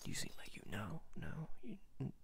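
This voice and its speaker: A man playing a quirky, rambling ring salesman in a soft ASMR roleplay, murmuring and whispering, halting and repeating himself as he coaxes the customer. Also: mid-range voice, huge pitch swings. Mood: musing, hesitant. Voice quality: whispery, breathy murmur with gravelly fry. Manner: halting, repeats and trails off playfully.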